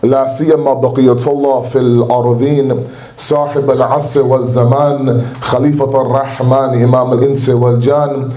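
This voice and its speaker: A man's voice: mid-range voice, mellow. A man with a Canadian accent giving an earnest, exhorting sermon at a religious gathering in a community center. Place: large hall at a community center. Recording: echoey hall.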